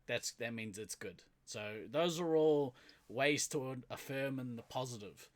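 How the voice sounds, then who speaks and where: A man's voice: medium pitch, measured pace. A young man with a New Zealand accent co-hosting a podcast, playfully explaining slang and laughing often. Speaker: podcast co-host. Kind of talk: casual chat.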